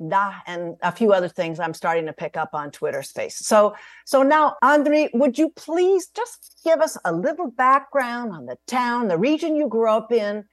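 A woman hosting an interview, reading her guest's credentials in a measured, careful way over a video call. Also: medium pitch, no echo. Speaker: host. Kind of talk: formal interview introduction.

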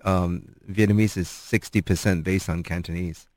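A man's voice: deep voice, fairly even intonation, normal speed, darkish tone, no echo, clean studio sound. A man interviewing a guest in casual chat, thoughtful and hesitant, with many ums.

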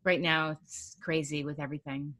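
A woman's voice: low-pitched, flat delivery, moderate pace, a bit bright. A woman hosting a college radio interview, friendly, with short encouraging replies.

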